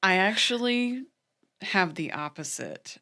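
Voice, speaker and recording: A woman co-hosting a podcast with a naturally deep voice, chatting in a relaxed, agreeable way and often trailing off. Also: slow pace. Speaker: podcast co-host. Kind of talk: casual chat.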